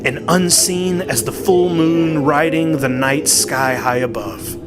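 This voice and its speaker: A man narrating a horror story in a dramatic, deliberate, suspenseful way, with weighted pauses. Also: fairly high pitch, background noise. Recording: music bed behind the voice. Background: clearly audible music.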